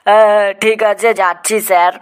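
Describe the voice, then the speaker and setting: A woman's voice: medium pitch, steady tone, moderate pace, smooth, close to an everyday voice. A woman voicing a cocky, mischievous schoolboy in a comedy cartoon, eager and boastful. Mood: pleading.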